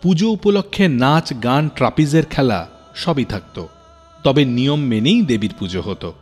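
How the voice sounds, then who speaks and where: A man hosting a radio show, telling history in scripted, expressive storytelling narration. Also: mid-range voice, normal speed, slightly rough. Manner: expressive, dramatic storyteller pacing. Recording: reverberant narration over faint music.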